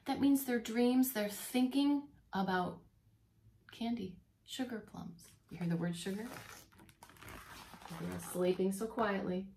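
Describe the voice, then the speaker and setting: Female preschool teacher, medium pitch, expressive storytime read-aloud with simple asides for little kids, audibly noisy recording. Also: slow pace, vocal fry. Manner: expressive, explains words simply and repeats them for children. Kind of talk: animated storybook read-aloud for young children.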